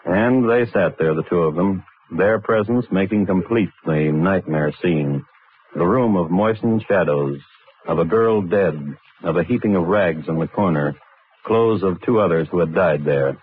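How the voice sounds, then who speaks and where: A man narrating a scripted crime story as host of a 1950s radio show, in a measured, dry storytelling manner. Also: low voice, husky, narrow, tinny audio. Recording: thin, band-limited vintage radio broadcast. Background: music.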